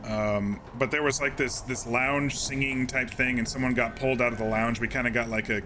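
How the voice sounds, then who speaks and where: A man streaming a game, casually recapping events in a calm, rambling way with many fillers and restarts. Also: mid-range voice, brisk, background noise.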